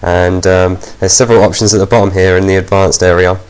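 Man, low voice bent by a voice changer, casual rambling demo with ums, desk mic. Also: level tone, quick pace, smooth, low-quality recording. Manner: rambling, with many ums and restarts. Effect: software voice changer shifting pitch. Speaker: software reviewer. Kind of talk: casual screen-recording tutorial.

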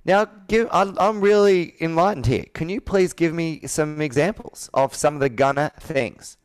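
Male sales coach, 30s, smooth voice, dry close sound, pushes with probing questions. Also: a little high, moderate pace, no echo. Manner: assertive, probing, rhetorical questions. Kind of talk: persuasive coaching on a sales call. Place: indoor office with a flip chart, on a video call.

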